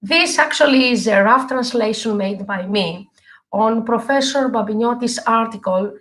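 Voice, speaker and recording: A middle-aged woman from Greece, a native Greek speaker and English teacher, giving an earnest live online talk with many pauses and fillers. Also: fairly high pitch, fairly bright tone. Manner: earnest, halting with frequent fillers.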